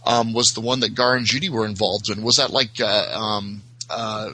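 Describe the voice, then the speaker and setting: A man chatting casually as a podcast guest, explaining things at length in a halting, wordy way. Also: lowish voice, fairly even intonation, bright, forceful tone, slightly rough, low-quality recording. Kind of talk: casual chat about TV shows.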